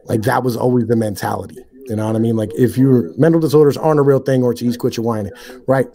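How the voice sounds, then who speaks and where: A man's voice: medium pitch, steady tone, fast talker, vocal fry, dark tone. Blunt, profane guy in his thirties. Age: 30s. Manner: profane, blunt, street slang, constant "you know what I mean". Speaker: podcast guest. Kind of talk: casual interview chat.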